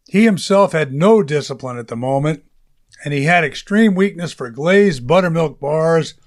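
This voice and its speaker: A male audiobook narrator with a somewhat high-pitched voice tells a story in a conversational way. His delivery is casual and colloquial, as if recounting it to someone he knows.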